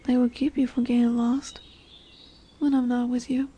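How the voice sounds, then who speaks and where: A woman voicing a dominant but tender lamia in a fantasy roleplay audio drama, speaking softly and soothingly, often dropping into whispers. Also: on the high side, level tone, normal speed, breathy edge. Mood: instructive, protective. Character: dominant, tender female lamia, snake-bodied forest monster.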